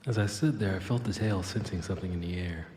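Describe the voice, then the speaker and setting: A man's voice: sits low, fast talker, darkish tone, natural voice. A man voicing a flippant, rambling cyborg who narrates his own escape in an audio drama. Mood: narrating, wary. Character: wisecracking male cyborg with a robotic tail, dazed and flippant. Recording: echoey, long reverb, noisy background.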